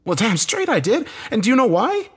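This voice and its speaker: A desperate, embittered man from an audio thriller, acted out by a male narrator. He sounds agitated and urgent, swearing freely. The voice is raised high, swings widely and has a husky edge. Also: wildly swooping pitch, a little fast, fairly bright tone, exaggerated voice. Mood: bitter, furious. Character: Josh, desperate bitter man, cornered game loser.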